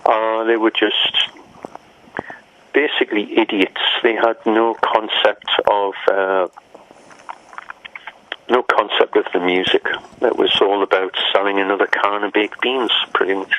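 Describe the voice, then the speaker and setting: Older English musician guest, low-pitched, wry and rambling, recorded on dull lo-fi audio. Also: male voice, fairly even intonation, unhurried, a bit bright. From England. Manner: wry, laughing, rambling anecdotes. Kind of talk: casual interview storytelling. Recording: muffled lo-fi audio.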